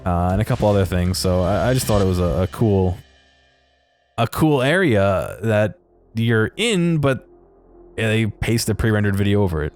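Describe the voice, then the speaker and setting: A man's voice: on the low side, animated, normal speed, darkish tone, soft background music. A man giving casual, unscripted game commentary as a video creator, hesitant and thinking aloud.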